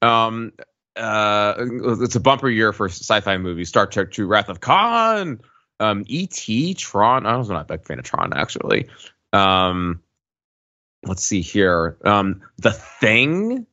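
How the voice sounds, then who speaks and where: Animated, lowish-voiced man on lo-fi mic. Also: slow. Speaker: podcast co-host. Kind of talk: casual chat.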